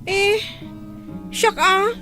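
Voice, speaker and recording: A woman's voice: very high-pitched, steady tone, drawn-out words, over music, full character voice. A woman playing a plaintive young daughter in a radio drama, drawing out her pleas. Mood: pleading. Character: young daughter who borrowed money, pleading to study.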